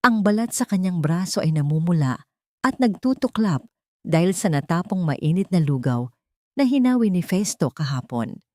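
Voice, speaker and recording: A woman's voice: on the low side, sing-song, moderate pace, mellow, dry recording. A woman narrating a dramatic audiobook story from a script.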